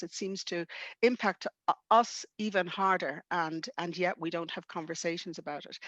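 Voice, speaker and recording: A woman's voice: on the low side, a bit bright, no room sound. A woman hosting a webinar panel over a video call. She speaks fluently and emphatically, in long building sentences. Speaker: webinar host. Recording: dull, dry lo-fi video call audio.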